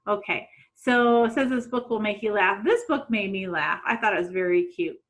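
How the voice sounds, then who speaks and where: A middle-aged woman, a teacher doing an expressive storytime for children and putting questions to young listeners. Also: medium pitch, moderate pace. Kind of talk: read-aloud storytime for children. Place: small room at home.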